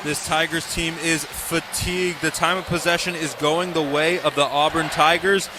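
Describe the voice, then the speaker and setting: American guy doing color commentary on football radio, kinda high voice, emphatic takes, noisy stadium. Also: steady tone, moderate pace. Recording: loud stadium and outdoor ambient noise.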